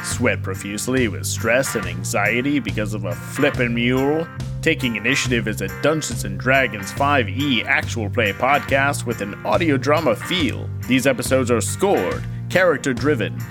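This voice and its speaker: A man's voice: mid-range voice, expressive, normal speed. A man reading a comedic, scripted promo as a podcast announcer. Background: guitar music.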